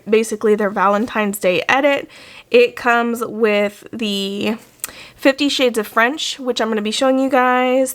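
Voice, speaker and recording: Chatty woman, slightly croaky from illness. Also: a little high, a bit bright. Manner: chatty and enthusiastic. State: sick, a little croaky. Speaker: vlogger. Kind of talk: casual product unboxing. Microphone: desk microphone.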